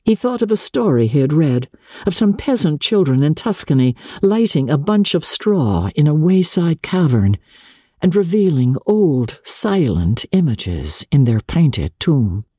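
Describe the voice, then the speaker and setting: A woman narrating classic literature in a measured, theatrical way, with deliberate pauses between phrases. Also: low voice, very animated, mellow, breathy edge, no room sound, studio quality. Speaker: narrator, audiobook reader.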